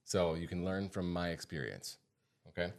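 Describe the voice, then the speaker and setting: A man's voice: low-pitched, expressive, moderate pace, gravelly. An American man hosting a podcast as a financial advisor, talking informally and repeating points for emphasis. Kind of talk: casual podcast talk.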